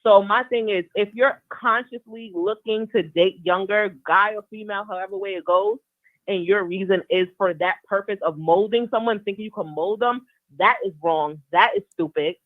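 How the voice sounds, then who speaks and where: A young woman in her twenties makes a blunt, drawn-out argument in a group debate. Her voice comes through thin and tinny, as over a phone line. The sound is dry, with no room around it. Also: mid-range voice, no echo. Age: 20s. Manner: blunt, explains her point at length. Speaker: podcast co-host. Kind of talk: heated casual debate.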